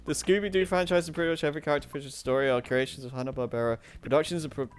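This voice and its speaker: A man's voice: fairly high pitch, fairly even intonation, rapid-fire, clean tone. A man reading storybook narration with casual asides, often stumbling and correcting himself. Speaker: narrator of a streamed fan-fiction reading.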